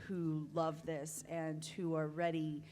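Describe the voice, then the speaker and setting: A woman's voice: deep voice, monotone, slow, a little mellow. A woman speaking casually as a panelist to a convention audience. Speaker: convention panelist from a radio drama team. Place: convention meeting room.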